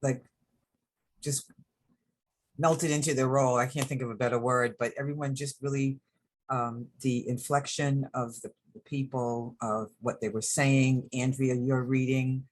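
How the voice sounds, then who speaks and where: A man's voice: medium pitch, flat delivery, unhurried. A man, an actor on a panel, giving casual feedback and asking questions in conversation. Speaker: actor on a script-reading panel. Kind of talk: casual discussion.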